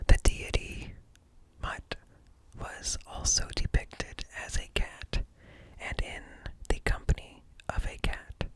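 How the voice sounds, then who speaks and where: A man reading informational text in a slow, hushed, breathy whisper for ASMR, close to the microphone. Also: rough recording. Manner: slow, deliberate, hushed, broken into short phrases. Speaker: ASMR host. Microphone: close ear-to-ear microphone.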